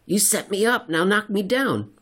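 A man voicing an excitable, half-crazed, slangy male enthusiast in a comic sci-fi story reading. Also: sits high, normal speed, pitched above the performer's own voice. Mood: manic, goading.

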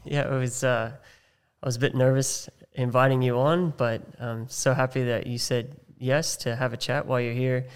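A man's voice: medium pitch, little pitch movement, moderate pace, clear, resonant tone, soft background music. A man hosting a podcast interview, friendly and affirming, with casual fillers and restarts. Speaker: podcast host.

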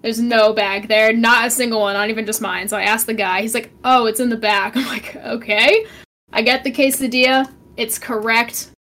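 A young woman co-hosting a casual podcast chat, telling stories with lively acted-out quotes. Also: a little high, moderate pace. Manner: animated storytelling, acts out her own quotes. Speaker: podcast co-host.

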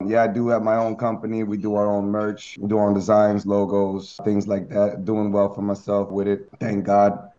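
A man with a fairly low voice tells stories in an easygoing, unhurried way, filling gaps with ums and you-knows. He comes through a dull, lo-fi conference call line. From United States. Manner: relaxed, easygoing storytelling with fillers. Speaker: guest rapper. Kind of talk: casual interview on a conference call.

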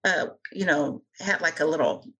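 Low, husky woman, earnest. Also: normal speed, a bit bright, poor audio. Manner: earnest, rambling, many fillers. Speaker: guest author. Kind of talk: casual interview conversation.

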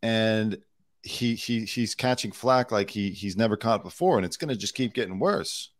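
Low-voiced man opining on a podcast. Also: normal speed, no echo, clean studio sound. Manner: animated, opinionated, emphatic asides. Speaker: podcast co-host. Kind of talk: casual podcast chat.

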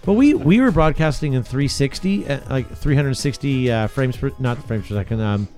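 A man's voice: mid-range voice, lively intonation, moderate pace, darkish tone, soft background music. A man hosting a podcast in casual chat, talking loosely with easy laughs and trailing sentences. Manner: chatty, laughs easily, trails off. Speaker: podcast host. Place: small podcast studio.

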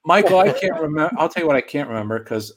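A man recalling past work in a relaxed group chat, hesitant and rambling. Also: on the high side, very fast, over a noisy crowd. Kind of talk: casual group video chat.

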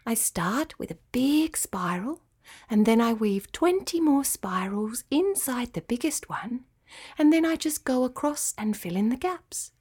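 A woman voices a proud, kindly lady spider from a storybook. Her voice is silky and whispery, lilting upward as she patiently explains, with gentle care and an unhurried pace. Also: on the high side, expressive, breathy edge, voice pushed up. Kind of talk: children's storybook character voice.

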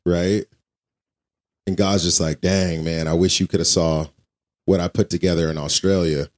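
A man with a low voice speaks as a podcast co-host in casual conversation. His manner is earnest and colloquial, explaining things with a preacher's conviction. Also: fairly even intonation, normal speed, a little mellow.

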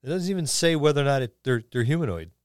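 Male co-host for a casual gaming podcast, average pitch, wry and quick to quip, very dry room sound. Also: expressive, smooth, dry recording. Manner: wry, quipping. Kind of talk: casual chat about tabletop roleplaying.

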